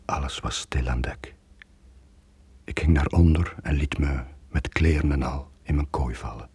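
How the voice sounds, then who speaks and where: A guilt-ridden sailor in a radio drama. The voice is extremely deep and dark, husky and dry, brooding over every confession. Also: male voice, a little slow, dry recording, heavily acted. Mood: melancholy. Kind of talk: 1980s radio drama, intimate narrating monologue.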